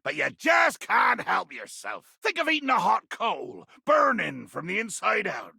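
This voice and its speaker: A man voicing a bloodthirsty, irascible pirate captain for a video game, ranting theatrically in archaic sea-dog speech with a gravelly growl. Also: high-pitched, wildly swooping pitch, a little slow, bright, forceful tone, dry recording, raised voice, clean studio sound, heavily acted. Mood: scornful, fiery. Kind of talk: video game character acting.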